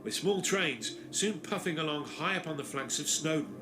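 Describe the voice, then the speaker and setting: A man giving scripted documentary narration in a measured, storytelling delivery. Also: fairly high pitch, lively intonation, noisy background. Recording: narration over music from a played video.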